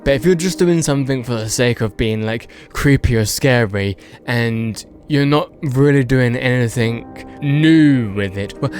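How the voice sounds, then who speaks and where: A man's voice: medium pitch, unhurried, few pauses, darkish tone, soft background music. A man giving casual, unscripted video commentary, rambling and hedging as he goes and repeating words for emphasis. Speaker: video host.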